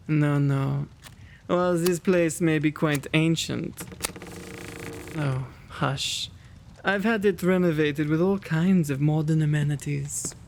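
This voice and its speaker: Kindly vampire lord of the manor, played by a man, ASMR roleplay: genteel and quaint, slow delivery, gravelly creak. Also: on the high side, darkish tone, slight background noise. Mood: genial, doting. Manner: genteel, old-fashioned, fussing and flustered diction. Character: kind, quaint gentleman vampire, lonely host of old mansion.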